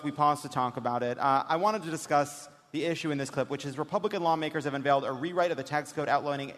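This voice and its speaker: A man's voice: on the high side, fairly bright tone. A man hosting a live comedic political panel, joking as he explains things. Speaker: podcast host. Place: large hall on stage. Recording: echoey hall, live stage.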